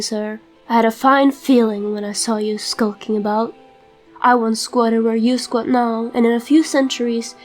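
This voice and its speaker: An age-old, courtly fantasy trickster, played by a woman, smugly polite and grandiloquent. The voice sits high, with faint music behind the comic-dub delivery. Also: a little mellow, natural voice.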